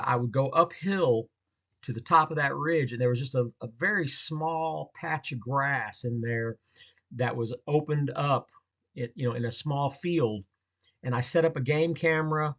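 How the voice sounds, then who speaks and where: A man talking casually through a slideshow of his own experiences, in a halting, rambling, folksy way. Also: medium pitch, a bit bright. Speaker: presenter recounting a field experience. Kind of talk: casual narrated slideshow storytelling. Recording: dull lo-fi audio.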